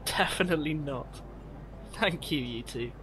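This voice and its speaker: A man's voice: a little high, measured pace, fairly bright tone. A man playing a frank, anxious young gambler on the run in an audio drama. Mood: relieved. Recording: clear background noise.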